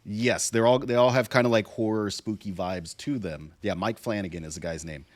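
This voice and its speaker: A man co-hosting a podcast, talking casually and haltingly as he searches for words and repeats himself. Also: lowish voice, no echo. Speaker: podcast co-host. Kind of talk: casual podcast discussion about movies.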